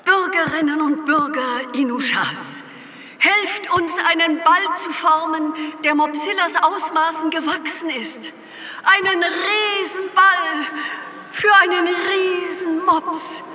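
A woman's voice: very high voice, slow, sharp tone, full character voice. A woman playing a solemn planetary president in a comedic sci-fi radio play, speaking in emphatic, pathos-laden oratory. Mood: rousing. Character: solemn female president of an alien planet, rallying her citizens. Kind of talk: comedic sci-fi audio drama acting. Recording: long echoing reverb, clearly noisy ambience behind.

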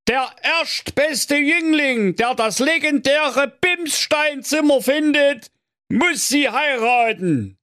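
A man voicing a pompous, blustering old fairy-tale king who makes loud proclamations. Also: extremely high pitch, animated, slow pace, no room sound, studio quality, voice pushed up, full character voice. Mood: proclaiming. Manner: blustering proclamations, crude outbursts.

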